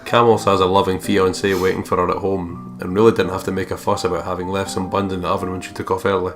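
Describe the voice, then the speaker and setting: A man's voice: deep voice, little pitch movement, fast talker. A young man with a Scottish accent reading game text aloud and adding exuberant, sweary, joking commentary. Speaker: let's play co-host. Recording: music behind the voice.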